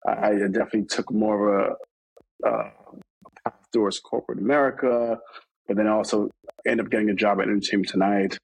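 A man's voice: on the low side, steady tone, fairly quick, creaky, slightly rough, no echo, rough recording. A man from New York talking casually in an interview, rambling with many fillers and restarts. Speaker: interview guest.

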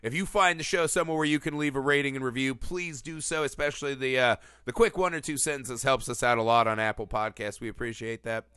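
Bright, crisp-voiced podcast host with sniffles. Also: male voice, mid-range voice, fast talker, few pauses, no room sound, clean studio sound. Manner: animated, playful, teasing. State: sniffly from a cold. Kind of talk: casual podcast chat. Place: Los Angeles area.

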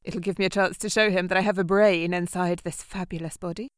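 A woman's voice: on the low side, fairly even intonation, fairly quick, smooth, no room sound, clean studio sound, lightly acted. A woman playing a vain, flirtatious, competitive glamorous friend in an audio drama, theatrical and teasing. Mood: arch, indignant.

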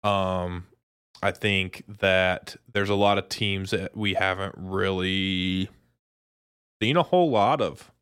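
Low-voiced man, casual podcast co-host. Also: slow pace, fairly bright tone, clear, resonant tone, dry recording, clean studio sound. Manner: informal, slangy buddy talk. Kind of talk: casual sports chat.

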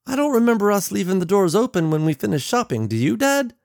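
A man acts out a little girl in an audiobook story, pitched well up high. The child is frightened and pleads with urgency. The sound is close and dry. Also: sing-song, fast, creaky, no room sound, heavily acted. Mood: puzzled.

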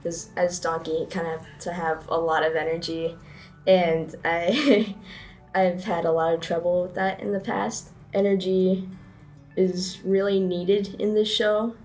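A young woman, a student actor, speaking in a plain, even way. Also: fairly low pitch, a little slow, faint music behind.

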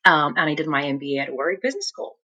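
A woman with a Lithuanian accent talks through her career in a relaxed interview. Her voice is bright and clear. She pauses often and fills gaps with "um" and "like" while she thinks. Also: deep voice. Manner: thoughtful, many fillers like um and like. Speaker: guest, MBA graduate and consultant. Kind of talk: conversational interview answers.

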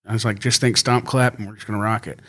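A man's voice: fairly even intonation, fast talker, a bit bright. A man who is a country singer, chatting casually as a podcast guest and rambling easily with frequent laughs. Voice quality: high singing voice. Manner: easygoing, rambling, laughs often.

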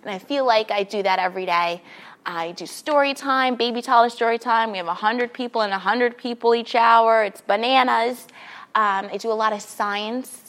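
A woman's voice: on the high side, normal speed, a bit bright. Upbeat, chatty woman from New York. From Queens, New York City. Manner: upbeat, chatty, laughs often. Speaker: podcast guest, children's librarian. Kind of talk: casual interview chat.

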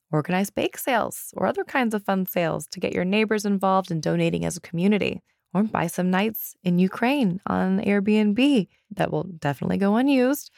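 A woman hosting a solo podcast episode, earnest and chatty, her voice raspy today. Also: lowish voice, no room sound, clean studio sound. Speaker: podcast host.

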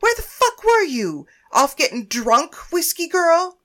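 A woman's voice: extremely high pitch, sing-song, measured pace, a bit bright, pitched above the performer's own voice, full character voice. A woman reading a story voices a grieving, furious woman who screams accusations, shrieking and near tears. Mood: enraged screaming.

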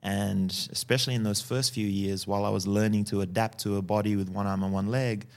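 Gravelly man telling his story. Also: on the low side, fairly quick. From Fiji. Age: early 40s. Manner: calm, tags sentences with "yeah?" and "right?". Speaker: guest. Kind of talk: personal storytelling in a podcast interview.

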